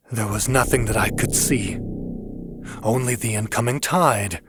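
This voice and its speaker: A man narrating a horror audiobook in a scripted, dramatic literary style. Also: lowish voice.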